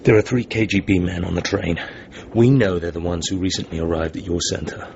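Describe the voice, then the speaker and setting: An actor playing a suave English secret agent in a radio drama, cool and guarded with a gravelly voice. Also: male voice, deep voice, steady tone, a little fast, a little mellow. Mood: probing, suspicious. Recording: dull lo-fi recording, background noise.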